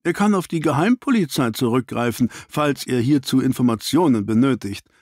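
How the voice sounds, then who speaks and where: A man voicing a shrewd, dry-witted gentleman detective in an audiobook, speaking formally and inquisitively. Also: medium pitch, expressive, moderate pace, no echo, natural voice. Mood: keen, dry.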